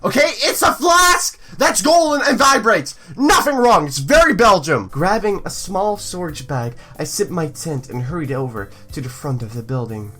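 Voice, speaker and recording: Woman reading a dating game out loud n joking about it, very lively pitch, music behind. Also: moderate pace, few pauses. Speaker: let's play host. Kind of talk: reading a visual novel aloud with playful commentary.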